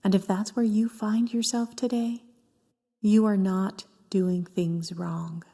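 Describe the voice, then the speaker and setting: A woman leading a scripted guided meditation in a gentle, soft voice with breathy phrase endings and long pauses between short phrases. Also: mid-range voice, steady tone. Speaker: therapist guiding a meditation. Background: background music.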